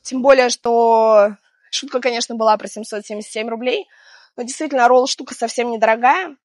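A woman in her thirties with a slightly high voice, a little hoarse from being ill. She talks through a foam-roller routine in a chatty, gentle manner. The sound is close and dry, recorded on a clip-on mic outdoors. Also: fairly even intonation, fairly quick, no room sound. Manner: chatty, gentle, laughs between cues. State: hoarse from illness. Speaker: fitness instructor. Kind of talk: guided exercise instruction. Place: outdoors on a seaside promenade.

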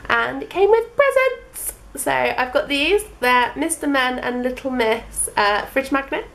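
A woman's voice: sits high, expressive, unhurried, fairly bright tone. A woman in her twenties with a cold, chatting upbeat into a handheld camera on her sofa for a day vlog. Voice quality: slightly husky from a cold. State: has a cold, a bit snotty. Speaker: vlogger. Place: living room sofa at home, indoors. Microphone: handheld camera at arm's length.

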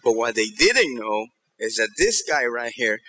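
A middle-aged man giving a motivational keynote on stage, telling stories in an animated way and checking in with his listeners. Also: mid-range voice, unhurried. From New York and Ecuador. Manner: animated storytelling, checking in with the audience. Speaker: keynote speaker. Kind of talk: motivational conference talk. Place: theater stage in a large auditorium.

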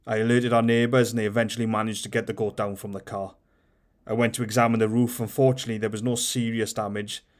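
A man hosting his own channel, reading a book of light-hearted short stories aloud in a steady, measured way. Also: on the low side. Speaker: audiobook reader and channel host.

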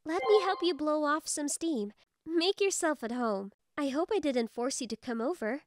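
Woman acting a high-voiced, friendly office senior. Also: moderate pace, dry recording, lightly acted. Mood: cheerful. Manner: encouraging, friendly. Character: friendly, supportive young female senior office coworker. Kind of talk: manga video dub dialogue.

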